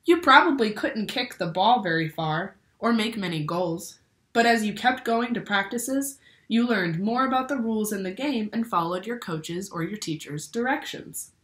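A young adult woman reading a picture book aloud to children in a deliberate, instructive way. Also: medium pitch, lively intonation, fairly bright tone. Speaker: teacher or librarian reading to children. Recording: echoey room.

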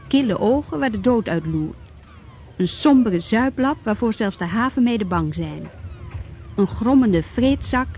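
A woman's voice: medium pitch, dark tone, natural voice. A woman in a Dutch radio drama playing a grim, solemn narrator with a declamatory reading style. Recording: dull sound with faint noise.

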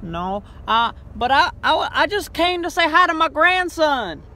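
A man's voice: extremely high pitch, very animated, measured pace, bright, forceful tone. A young man pranking drive-thru workers from inside a car, recorded on a phone held close. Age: young adult. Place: inside a parked car at night. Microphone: phone held close in front. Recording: car interior with faint noise.

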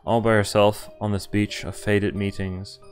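A young man with a fairly low voice reads visual novel text aloud for a stream. He slips between narration and offhand commentary, with music playing softly behind him. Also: flat delivery, a little slow. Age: young adult. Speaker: game streamer. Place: indoor room, webcam stream. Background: game music.

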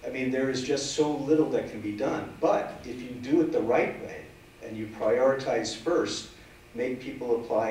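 Middle-aged guy, low voice, emphatic public comment, standing away from mic in echoey room. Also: slight background noise. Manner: forceful, emphatic, standing and gesturing. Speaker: legal aid director giving public comment. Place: council chamber. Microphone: standing away from desk mic. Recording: echoey chamber, far from mic.

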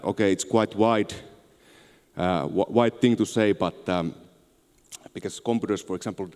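Deep-voiced Finnish-accented man in an echoey hall. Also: a little mellow. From Finland. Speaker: conference speaker, tech entrepreneur. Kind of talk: conference presentation. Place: on stage in a large auditorium. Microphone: handheld microphone. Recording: echoey large hall, long reverb.

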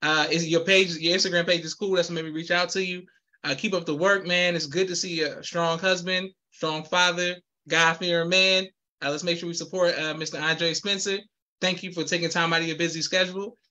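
Fast bright-voiced young podcast host. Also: male voice, a little high, steady tone. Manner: upbeat, formal thank-yous, affirming. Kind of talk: hosting an interview. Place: indoor room with posters. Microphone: video call, webcam.

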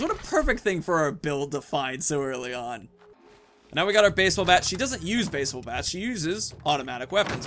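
A man in his thirties giving chatty, animated Let's Play commentary, telling a roleplay story and stressing key words. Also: fairly high pitch, slight background noise. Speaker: gaming video host.

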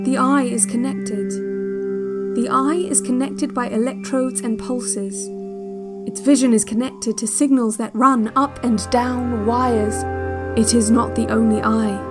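A woman playing a calm, controlling cassette instructor in an audio drama, giving slow, measured, clinical guided meditation. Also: on the high side. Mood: hypnotic, quietly insistent. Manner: measured, deliberate, hypnotic, clinical. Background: new-age music.

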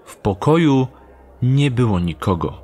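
Narrator, male, mid-pitched, unhurried and measured, scripted thriller storytelling. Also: very animated, warm tone. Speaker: audio drama narrator. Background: music.

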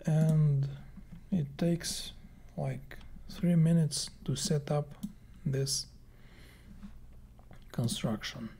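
Guy doing a screen tutorial, slow and halting, soft husky voice that drops to whispers. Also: on the high side. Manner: slow, halting, thinking aloud with many fillers. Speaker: game plugin developer. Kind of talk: screen-recorded software tutorial.

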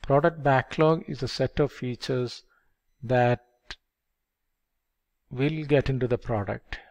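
An older man explaining project life cycles step by step, in a measured lecture delivery on a headset microphone. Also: medium pitch, darkish tone. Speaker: project management instructor. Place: dim indoor room. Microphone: headset mic.

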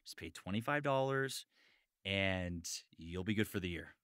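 A man's voice: low voice, animated, measured pace, a bit bright, clean tone, dry recording, clean studio sound. A man hosting a podcast in a casual, chatty style. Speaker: podcast host.

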